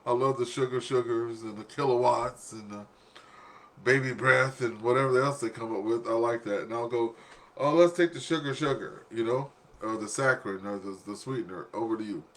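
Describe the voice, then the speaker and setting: A man's voice: mid-range voice, normal speed, fairly bright tone. A man hosting an amateur radio net in casual chat, telling stories and acting out exchanges as he goes.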